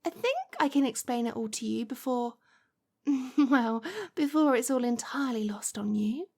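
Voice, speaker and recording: A woman's voice: on the high side, normal speed, vocal fry, a little mellow. A woman playing a lovestruck, possessive witch in an ASMR roleplay, cooing and coaxing with a condescending sweetness. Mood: smug, coaxing.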